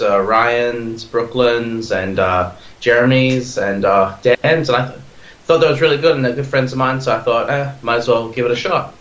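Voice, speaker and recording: A young Australian man in his twenties, chatting eagerly as a podcast guest. His talk rambles, and he restarts his sentences and leans on fillers. His voice sits at a middling pitch and sounds echoey, as if recorded in a bare room. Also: a bit bright. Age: 20s. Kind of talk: casual chat.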